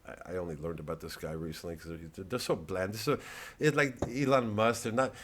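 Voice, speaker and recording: A man's voice: low-pitched, brisk, a little hiss or hum. A man giving a rambling, improvised satirical monologue, sardonic and profane, often digressing as he goes. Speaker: satirical comedian.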